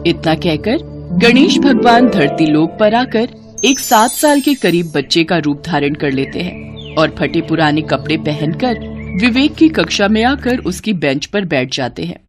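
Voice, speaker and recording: A woman narrating a children's moral tale in an expressive storytelling manner. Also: fairly low pitch, measured pace, over music.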